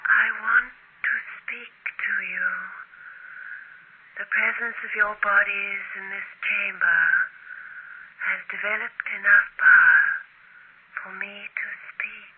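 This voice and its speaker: An actress playing the solemn, commanding ghost of an ancient princess in an old radio drama, speaking formally and imperiously. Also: female voice, mid-range voice, fairly even intonation, slow pace, long pauses, lightly acted. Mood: stern warning. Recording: noisy, tinny old radio broadcast.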